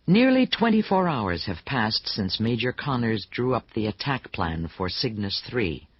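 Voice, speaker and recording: A man's voice: medium pitch, big pitch swings, a little slow. A man narrating a sci-fi radio drama in a scripted, dramatic style. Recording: dull, muffled recording.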